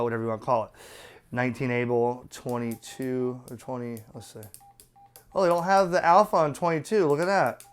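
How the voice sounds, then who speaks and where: A man's voice: mid-range voice, smooth. A man presenting a casual, unscripted hands-on product review, talking bluntly and colloquially as he explains things step by step.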